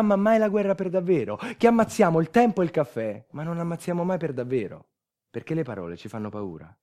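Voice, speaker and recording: A man performing a scripted stage monologue, dramatic, moving between hushed and emphatic delivery. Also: medium pitch. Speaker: stage actor, narrator of a monologue. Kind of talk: theatrical scripted storytelling.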